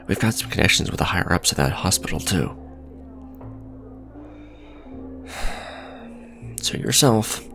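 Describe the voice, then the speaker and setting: Casting: male actor for smug businessman villain, romantic ASMR drama; gravelly fry, expressive intonation, dry conversational wit, faint music underneath. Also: low-pitched, moderate pace. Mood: casual, warm. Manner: sardonic, conversational, sighing and teasing. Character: smug male villain, suave luxury fashion businessman on a date.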